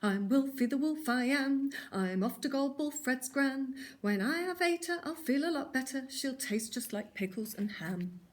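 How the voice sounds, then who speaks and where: A woman voicing an eager, bumbling young male wolf who gets squeaky when scared. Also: animated, moderate pace, natural voice. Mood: gleeful.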